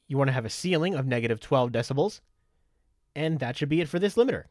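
Male tutorial presenter. Methodical, step-by-step instruction, mid-pitched, recorded dry and close. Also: expressive, quick pace, no echo. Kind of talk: step-by-step software tutorial narration.

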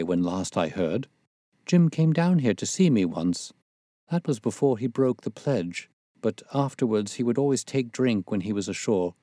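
A weary, impatient spinster of middle years, as a male narrator puts her on, pitched well above his own voice. She is plaintive and chatty, confiding grievances in old-fashioned storybook phrasing. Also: dark tone, no room sound, studio quality, close to an everyday voice.

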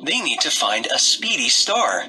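A man narrating a read-aloud story for young children in short, expressive sentences. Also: medium pitch, unhurried, over music, rough recording.

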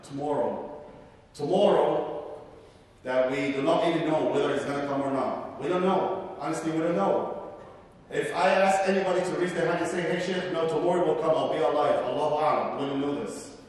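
A man in his forties preaching a sermon, slightly high-pitched and impassioned, dropping to hushed asides and then pressing hard again. His voice rings around a huge hall with a long reverb, heard a little off the mic. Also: recorded from a distance. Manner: impassioned, shifts between loud urging and hushed asides, quotes Arabic rapidly. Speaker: guest imam giving the Friday sermon. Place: large mosque prayer hall. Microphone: handheld mic.

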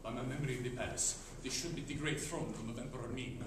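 A man voicing a noble, gruff lion-man prince with solemn, archaic speech in a radio-serial spoof. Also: medium pitch, steady tone, quick pace, pitched below the performer's own voice, close to an everyday voice. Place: classroom, indoors. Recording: echoey classroom, distant noisy camera.

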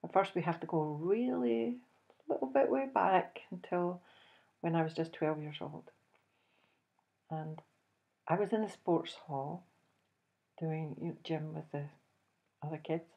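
A woman in her fifties with a Scottish accent, telling a personal story to camera in an animated, chuckling way. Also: low-pitched, a little slow, gravelly, pauses often, muffled recording. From Scotland. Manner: animated, chuckles often, acts out dialogue. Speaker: host. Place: indoors, small room, plain backdrop.

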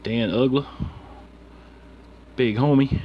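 Laid back guy muttering slangy hobby chat, real slow, bit of room noise behind him. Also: medium pitch, highs cut off. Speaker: sports card hobby live streamer. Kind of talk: casual chat while sorting through cards. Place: indoors at a desk with a computer. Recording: steady background room noise.

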